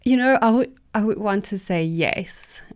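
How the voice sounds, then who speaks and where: Slow, smooth-voiced woman. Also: mid-range voice, dry recording, studio quality. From South Africa. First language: Afrikaans. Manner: halting, many fillers and restarts. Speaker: visiting artist, interview guest. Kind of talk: casual interview answers in English.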